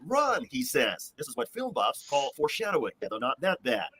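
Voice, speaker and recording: A man's voice: mid-range voice, huge pitch swings, moderate pace, pushed and bright, slightly rough. A man reading scripted TV news narration as a reporter, in noisy replayed broadcast audio.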